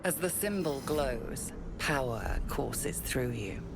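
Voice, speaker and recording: An ominous woman storyteller narrates a dark fantasy game with grave deliberation. Her voice is very deep and full of fry, hushed and dramatic, under loud music. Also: lively intonation, slow pace, heavily acted. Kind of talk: scripted second-person game narration.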